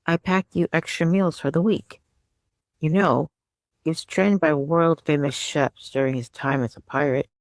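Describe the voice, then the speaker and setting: Woman acting caring reflective woman, tender, thoughtful, audiobook drama, slow, dry sound. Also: deep voice, fairly even intonation, dry recording. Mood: nostalgic.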